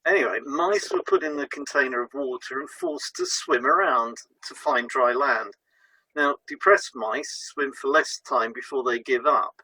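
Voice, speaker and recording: Man, bright forceful tone, raspy edge, explanatory scripted podcast delivery, dry recording. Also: mid-range voice, normal speed, dry recording, rough recording. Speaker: podcast co-host and hypnotherapist. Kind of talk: scripted educational podcast presentation.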